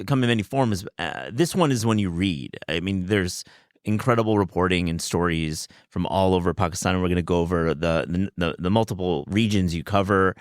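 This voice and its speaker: A man's voice: low-pitched, no echo. A man hosting a podcast interview in a studio, talking effusively with frequent stumbles and repeated words. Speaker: podcast host. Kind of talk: casual interview chat. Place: recording studio.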